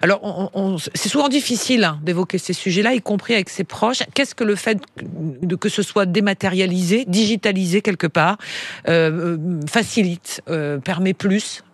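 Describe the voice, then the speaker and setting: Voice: medium pitch, normal speed, no room sound. Interviewer, searching questions, repeats words, dry close sound.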